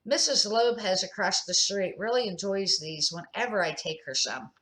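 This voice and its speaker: A woman's voice: medium pitch, lively intonation, moderate pace, fairly bright tone, lightly acted. A woman reading the part of a kindly older male neighbor who patiently explains things to children in a storybook. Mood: patient teaching.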